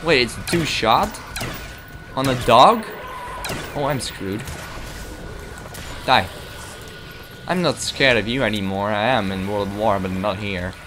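Slow-talking young man rambling over gameplay. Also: lowish voice, music in the background. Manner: rambling, self-mocking, talks to the game. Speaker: gameplay commentator. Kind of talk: casual gameplay commentary. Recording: noisy game audio behind.

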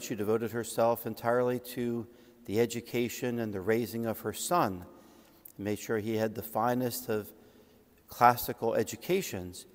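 A middle-aged man delivers a homily from the front of a large church. His voice sits at an ordinary male pitch and rings with long reverb. He speaks in measured phrases, like a priest telling a saint's life and reading from old writings. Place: large church sanctuary, at the ambo.

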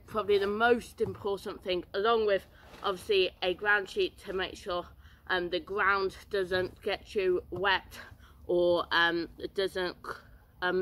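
A man's voice: high voice, bright, forceful tone, low-quality recording. A teenage boy, rambling casually through outdoor gear in a garden, with many fillers. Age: teens.

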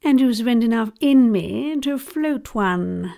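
A woman performs a flirtatious Victorian society actress in a radio play. Her voice is high and her words come slowly, with grand, coquettish flourish. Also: lively intonation, vocal fry, warm tone, full character voice. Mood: saucy. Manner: theatrical, coquettish. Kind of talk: audio drama acting.

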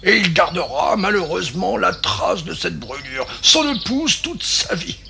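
Cartoon old explorer professor, very high creaky voice, unhurried, fussy and courteous, music behind. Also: male voice, very animated, crowd noise, heavily acted. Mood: musing. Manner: fussy, polite, exclaiming.

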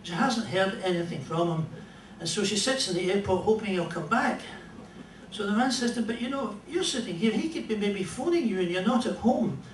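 An older Scottish man with a fairly high voice tells stand-up jokes in a dry, rambling way. He is picked up from a distance in a roomy club, with room noise clearly behind him. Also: expressive. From Scotland. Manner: dry, rambling joke delivery with pauses. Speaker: comedian and musician. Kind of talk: stand-up storytelling. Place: small club stage in front of a band. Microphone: stand mic, filmed from a distant camera. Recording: roomy club sound from far off.

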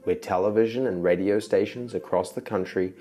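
A man reading a scripted promotional narration in a smooth, formal way. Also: fairly low pitch, brisk, dark tone. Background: guitar music.

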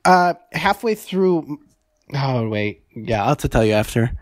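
A man's voice: mid-range voice, expressive, a little slow, no echo. A man in his twenties chatting casually as a podcast co-host from home. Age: 20s. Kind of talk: casual chat. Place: room at home on a video call.

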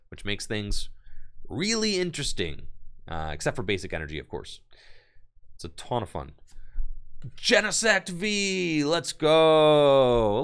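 A man opening card packs on a live stream, reading card text aloud and hyping his pulls for chat, his voice going hoarse. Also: on the low side. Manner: enthusiastic, hype-building, reads card text aloud. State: losing his voice.

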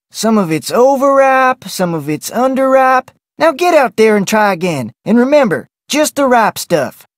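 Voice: medium pitch, no room sound, studio quality. An actor voicing a folksy ranch cook in a mystery video game, with colloquial Western talk. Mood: brisk, bossy. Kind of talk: video game character voice lines.

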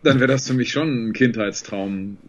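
A man's voice: medium pitch, normal speed. A man in his thirties, a voice actor guest, chatting thoughtfully and fondly about films over a video call from a home room. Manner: thoughtful, fond film talk with many fillers. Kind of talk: relaxed video-call interview chat. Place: home room with shelves and pink light. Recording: slightly dull, muffled call audio.

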